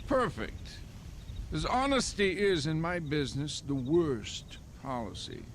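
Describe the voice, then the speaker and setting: An actor playing an oily crooked political fixer in a Western video game, speaking in florid, theatrical, pompous phrases. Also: male voice, fairly high pitch, sing-song, slow pace, a little hiss or hum, full character voice. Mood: slick, pompous. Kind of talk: video game cutscene acting.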